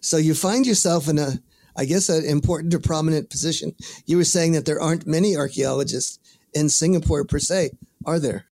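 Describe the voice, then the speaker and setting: A man hosting a podcast interview, asking questions in measured, formal phrasing with small stammers. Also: fairly high pitch, darkish tone. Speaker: podcast host. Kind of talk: interviewing a guest.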